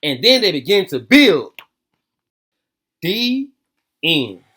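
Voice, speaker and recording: A man's voice: high-pitched, sing-song, takes it slowly, reverberant, recorded from a distance, background noise. An American man hosting bedtime stories for children, reading aloud and chatting in casual, slangy speech. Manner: informal slangy talk. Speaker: children's bedtime story host and read-aloud reader. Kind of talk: casual storytelling and chat aimed at kids.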